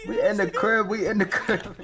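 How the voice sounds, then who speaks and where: A man chatting excitably in a gaming roleplay, using slang and repeating his phrases. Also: high voice, normal speed, heavy background noise. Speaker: player in a gang roleplay game. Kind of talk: casual in-game roleplay chat.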